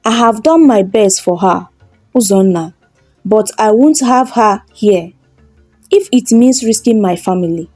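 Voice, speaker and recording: A woman's voice: medium pitch, lively intonation, a little slow, a little mellow, no echo, faint music behind. A woman voicing a resentful, defensive village wife in a huffy manner for a folktale. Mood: defiant.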